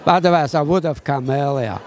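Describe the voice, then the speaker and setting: Old guy, bit high voice, simple halting answers outdoors. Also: a faint crowd. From Poland. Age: 80s. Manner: plain short answers with hesitations. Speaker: interviewee.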